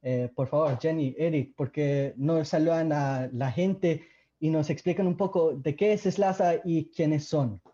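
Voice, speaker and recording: A man's voice: fairly high pitch, fairly even intonation, unhurried, warm tone, dull audio. Upbeat young man hosting radio. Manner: upbeat, chatty, with fillers. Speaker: radio co-host. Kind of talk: casual radio talk.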